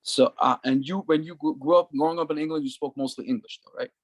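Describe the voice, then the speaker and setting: A man's voice: on the high side, fast talker, husky, dry recording. A man hosting an interview, asking long, loose questions full of fillers. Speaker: interviewer and host.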